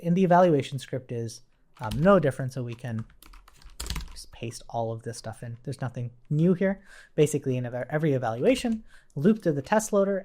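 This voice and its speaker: Animated coder talking through a tutorial. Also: mid-range voice. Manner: informal, thinking aloud while working, tags statements with "right?". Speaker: instructor, coding tutorial presenter. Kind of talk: casual live-coding tutorial narration.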